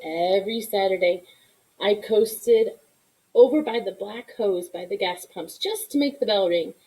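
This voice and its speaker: A woman reading a children's story aloud in an expressive style, with deliberate, dramatic emphasis. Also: mid-range voice, rough recording. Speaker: storybook reader.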